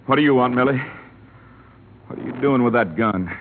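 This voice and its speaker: An actor playing Frankie, a hot-tempered young ranch man, in 1950s radio drama style, swinging between hushed dread and frantic shouting. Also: mid-range voice, moderate pace, natural voice. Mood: tense, hushed. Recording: tinny old radio broadcast, static.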